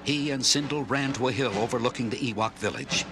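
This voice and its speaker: A man's voice: medium pitch, fairly quick, over music. A man narrating a children's story in a scripted, dramatic style.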